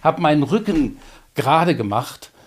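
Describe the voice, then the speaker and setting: Middle-aged male coach, interview guest; calm, explanatory, anecdotal. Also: on the high side. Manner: measured, explanatory, occasional filler hesitations; tells personal anecdotes to make points. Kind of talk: interview conversation.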